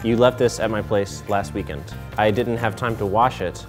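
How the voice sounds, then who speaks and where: A young man with a fairly low voice hosts a comedy vlog, opening fan mail and tossing in dry, wry asides. Music plays clearly behind him. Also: steady tone, normal speed. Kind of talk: deadpan comedic vlog banter.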